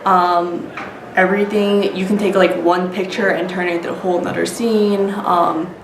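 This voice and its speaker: A woman's voice: fairly low pitch, level tone, normal speed. A woman with an American accent answering interview questions casually, peppering her speech with "like" and "you know". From United States. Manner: frequent "like" and "you know", informal. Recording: noisy, echoey room.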